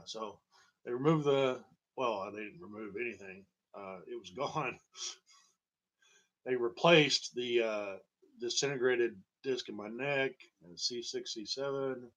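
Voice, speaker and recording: A man telling a personal story in a halting, digressive monologue with a wry, emphatic manner. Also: mid-range voice, dull audio. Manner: halting, digressive, wry, chuckling at grim details. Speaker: storyteller and host. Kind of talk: rambling personal monologue about own medical history.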